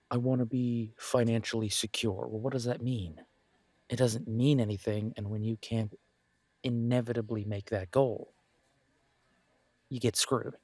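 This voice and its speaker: A man playing a supportive, self-deprecating best friend in a casual roleplay audio drama, speaking in an earnest, encouraging, conversational way with pauses. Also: mid-range voice, darkish tone, husky, dry recording.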